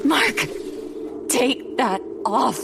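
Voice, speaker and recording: A woman's voice: on the high side, lively intonation, very slow, creaky, noisy background, heavily acted. An actress playing a frightened, exasperated woman in a dramatized horror audiobook. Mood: alarmed, pleading. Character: frightened, exasperated adult sister confronting a puppet. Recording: music behind the voice.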